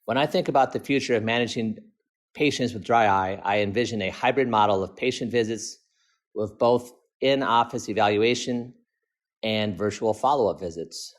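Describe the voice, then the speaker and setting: Male optometrist, lower-pitched, formally reading a scripted clinical article. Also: normal speed.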